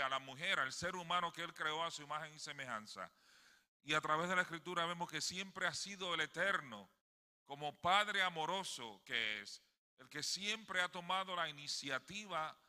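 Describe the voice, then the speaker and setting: Bright, forceful Puerto Rican man preaching. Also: on the high side. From Puerto Rico. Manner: emphatic, often seeks agreement with tag questions. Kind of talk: sermon delivered to a congregation. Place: indoors in a sanctuary. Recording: long hall reverb.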